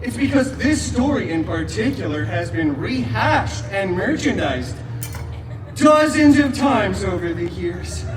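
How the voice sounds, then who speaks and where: A man's voice: high voice, big pitch swings. A man playing a manic, wisecracking superhero showman, telling a story theatrically to a live crowd with teasing asides. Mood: teasing, sarcastic. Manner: theatrical, teasing, fast-talking asides with drawn-out words. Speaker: storyteller in a live theme park show. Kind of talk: comedic live stage storytelling with crowd banter. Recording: echoey, noisy outdoor crowd, far off.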